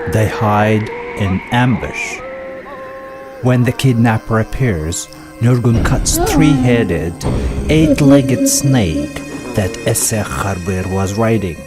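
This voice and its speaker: A man narrating a folk epic as scripted storytelling, in a measured, solemn manner. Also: mid-range voice. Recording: voice-over with loud music behind. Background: loud music.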